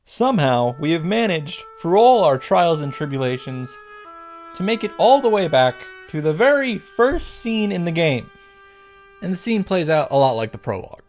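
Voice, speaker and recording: Male recap host, slightly high voice, wry and sweary, mock-grand delivery, music underneath. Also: very animated, normal speed, a little mellow. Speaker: visual novel reactor and narrator. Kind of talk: scripted comedic recap commentary.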